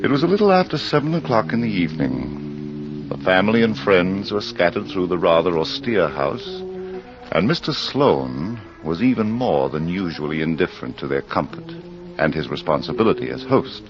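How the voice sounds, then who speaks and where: A man's voice: sits low, sing-song. Ominous male librarian host, 1940s radio mystery, swooping theatrical delivery, noisy vintage sound. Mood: suspenseful. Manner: theatrical, suspenseful, deliberate phrasing. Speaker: host and narrator. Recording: muffled, very noisy old radio recording.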